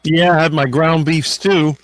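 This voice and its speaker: A man chatting casually on a video call, relaxed and unhurried. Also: a little high. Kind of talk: casual chat.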